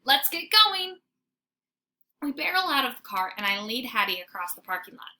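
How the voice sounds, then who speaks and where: Bright-voiced woman reading a children's story. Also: fairly high pitch, fast talker. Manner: dramatic, animated storytelling. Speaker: teacher reading aloud to students. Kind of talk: expressive children's book read-aloud. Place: informal homemade recording. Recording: faint background noise, homemade recording.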